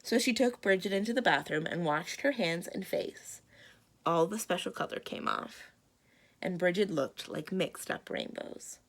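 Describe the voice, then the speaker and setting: A woman reading a children's picture book aloud expressively as a storyteller, with dramatic emphasis and drawn-out words. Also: lowish voice, animated. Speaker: library storyteller.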